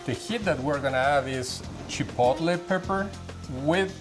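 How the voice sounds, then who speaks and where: A man from Mexico City with a Mexican Spanish accent, a guest demonstrating a recipe in a kitchen and talking haltingly with many pauses and fillers. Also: a little high. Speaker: guest cook. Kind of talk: cooking demonstration. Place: home kitchen. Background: background music and sizzling pan.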